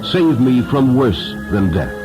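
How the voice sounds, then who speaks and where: A man's voice: mid-range voice, very animated, takes it slowly, dull audio, over music, pitched above the performer's own voice. A man voicing a desperate young captive girl, pleading dramatically for rescue in a storybook reading.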